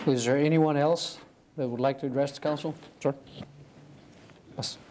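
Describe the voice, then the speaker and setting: A man's voice: fairly high pitch, fairly even intonation, normal speed, a little mellow, slight background noise. A man chairing a city council meeting, calling for speakers in a formal, courteous manner. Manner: formal, courteous procedural phrasing.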